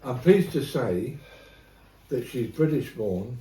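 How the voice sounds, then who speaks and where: A Welsh man, an art critic, talking in animated, stumbling, sweary banter at a dinner table. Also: medium pitch, takes it slowly, far from the mic. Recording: echoey room, off-mic, faint noise.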